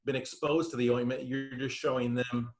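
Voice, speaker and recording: Man with mid-pitched voice, agreeable and hesitant. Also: flat delivery, moderate pace. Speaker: participant commenting. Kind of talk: informal discussion on a group video call. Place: online video call.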